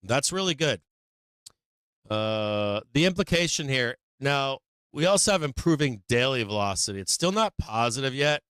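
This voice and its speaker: A hoarse middle-aged man hosting a casual stock market livestream, rambling candidly and swearing now and then as his voice gives out. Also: medium pitch, lively intonation, moderate pace, fairly bright tone, no room sound.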